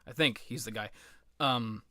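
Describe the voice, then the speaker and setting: A man with a bright, forward voice at an ordinary middle pitch, recorded close and dry. He rambles casually through game commentary, swearing freely and putting himself down in a sardonic, exaggerated way. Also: a little slow, no echo. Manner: self-deprecating, sweary, sardonic. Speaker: gaming commentator.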